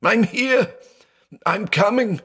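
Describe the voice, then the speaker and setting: A man's voice: deep voice, big pitch swings, a little slow, full character voice. A man voicing a terrified blind man alone at night, frantic and pleading, breaking into shrieks. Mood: panicked screaming.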